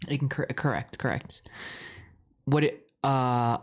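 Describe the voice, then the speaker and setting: A man's voice: medium pitch, fairly even intonation, slow. Rambling, playful man in boyfriend roleplay.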